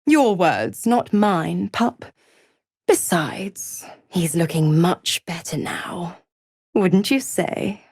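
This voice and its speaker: An actress playing a seductive, mocking, imperious female devil in a video game, with a sultry, taunting and theatrically drawn-out delivery. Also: on the low side, animated, a little mellow, slightly rough, no room sound, clean studio sound, full character voice. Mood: smug, mocking.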